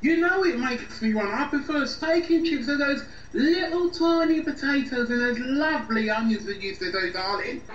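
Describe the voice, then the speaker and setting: A man's voice: very high-pitched, lively intonation, normal speed, few pauses, a bit bright. A man with a British accent acting a defensive, unemployed layabout boyfriend in an amateur radio drama, grumbling in colloquial phrases. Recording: roomy, distant, muffled, noisy recording.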